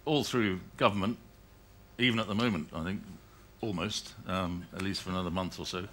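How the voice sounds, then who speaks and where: Middle-aged british guy on a conference panel, bright lively tone, keeps restarting sentences. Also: deep voice, fairly quick, slight background noise. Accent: British English. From United Kingdom. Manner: rambling, self-correcting, laughing asides. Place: conference hall stage.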